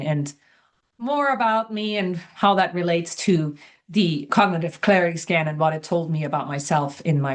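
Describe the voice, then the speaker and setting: An older woman from Germany, introducing herself in a measured, articulate way on a video call. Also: lowish voice. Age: older, semi-retired. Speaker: coach, former healthcare executive. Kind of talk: self-introduction in an online meeting.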